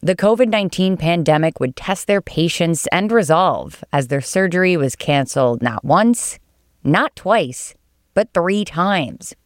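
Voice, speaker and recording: A woman reading scripted documentary narration in a polished, measured way. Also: lowish voice, animated, dry recording, clean studio sound. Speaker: narrator and host.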